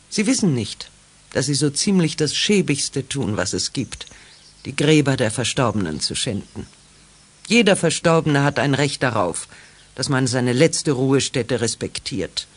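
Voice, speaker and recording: A woman playing a kind, thoughtful mother with a low, husky voice in a 1980s children's radio drama, speaking earnestly. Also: exaggerated voice. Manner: earnest, measured, expressive.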